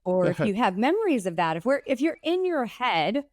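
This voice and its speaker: A woman's voice: on the high side, sing-song, a little fast, dry recording. A middle-aged woman hosting an interview podcast. She talks in a chatty, affirming way and thinks aloud as she goes. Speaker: podcast host and clinic owner.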